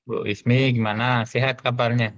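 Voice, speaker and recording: A man's voice: medium pitch, steady tone, moderate pace, lo-fi sound. Man with a strong Javanese accent. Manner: chatty, rambling with fillers. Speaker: coach. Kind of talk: coaching conversation.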